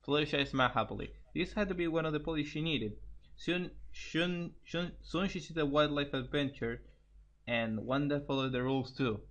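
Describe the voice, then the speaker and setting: A man's voice: fairly high pitch, moderate pace, a bit bright, muffled recording. A man reading a story aloud informally, often stumbling and repeating phrases as he goes. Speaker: co-host reading fan fiction aloud.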